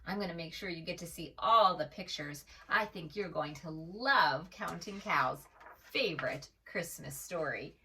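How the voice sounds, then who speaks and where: A woman reads a picture book to small children with a gentle touch and lots of melodic movement. She takes her time over each phrase and speaks to the young listener directly. The microphone sits a little way off. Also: lowish voice, unhurried, distant mic, a little hiss or hum. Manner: expressive, gentle, asks the listener questions. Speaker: children's storyteller. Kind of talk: storybook read-aloud for preschoolers.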